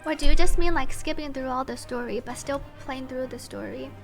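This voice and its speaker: A woman game streamer chatting casually with viewers and reading story text aloud in a playful, expressive, teasing way. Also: sits high, a little fast, music in the background.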